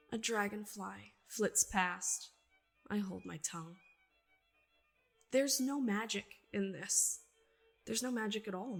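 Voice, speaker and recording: A proud young woman of the swamp played by an actress tells her own story in a literary audio drama monologue. Her voice is breathy and husky, and she speaks slowly with deliberate, hushed intensity. Music plays behind her. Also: mid-range voice, heavily acted. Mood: resentful, matter-of-fact. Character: young woman, swamp-dwelling healer daughter, proud and defiant.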